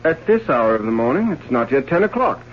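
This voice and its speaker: An actor playing a vain, anxious young gentleman in an old-time radio drama, halting and theatrical, repeating his phrases. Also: medium pitch, big pitch swings, natural voice. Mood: placating, evasive. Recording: muffled old radio broadcast with hiss.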